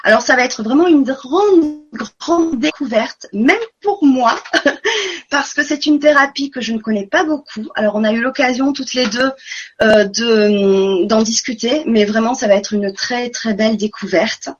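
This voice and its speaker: A woman's voice: a little high, measured pace, lo-fi sound. A woman hosting a live online conference, speaking earnestly and repeating words for emphasis. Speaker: webinar host.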